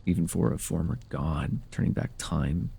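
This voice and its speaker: A man playing a forgotten, sorrowful former god in an ASMR audio roleplay, with a breathy, gravelly voice and a halting, brooding delivery. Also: rumbling low, dark tone, full character voice. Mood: mournful, brooding.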